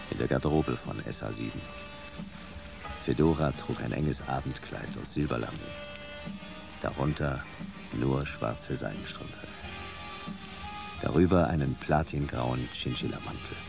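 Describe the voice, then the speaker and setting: A laconic noir private eye tells his case in a radio play. His voice is extremely deep and creaky, deadpan, with clear music behind it. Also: full character voice. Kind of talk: scripted radio play narration.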